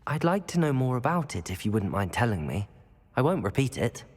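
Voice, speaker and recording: Brave young wizard, husky, lively intonation, polite and curious, game dialogue with reverb. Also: male voice, mid-range voice, fairly quick. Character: curious, sympathetic, brave student wizard hero. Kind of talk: video game cutscene acting. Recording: hall reverb, music underneath.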